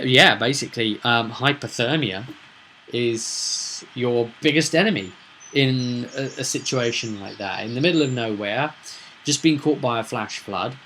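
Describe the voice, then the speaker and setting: A man's voice: mid-range voice, sharp tone, slight background noise, rough recording. A man talking casually as a game master, rambling through an explanation with emphatic points and rhetorical questions. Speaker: game master recapping a tabletop roleplay session. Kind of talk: casual explanatory vlog monologue.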